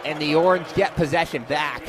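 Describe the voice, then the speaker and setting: A man's voice: a little high, normal speed. A young man calling live basketball play-by-play on radio with energy, raising his voice for big plays. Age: young adult. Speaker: play-by-play sports commentator. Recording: loud crowd and gym noise behind.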